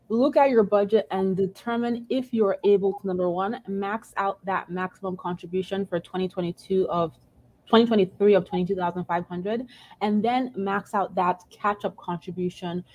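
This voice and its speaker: A woman's voice: lowish voice, a little mellow, poor audio. A woman in her thirties giving encouraging personal finance advice over a video call from home, often adding "right?". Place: home room, indoors. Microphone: video call webcam.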